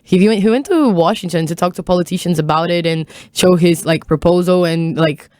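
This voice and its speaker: Young Brazilian woman with smooth voice. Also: on the low side, a little fast, darkish tone, no echo. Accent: Brazilian Portuguese. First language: Portuguese. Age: young adult. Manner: chatty, frequent "like" and "you know", light laughs. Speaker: podcast guest. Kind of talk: casual conversation.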